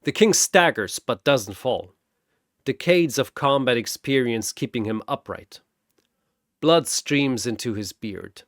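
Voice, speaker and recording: A man's voice: mid-range voice, lively intonation, takes it slowly, fairly bright tone, no room sound, studio quality. A man reading dramatic scripted story narration in a theatrical, punchy way.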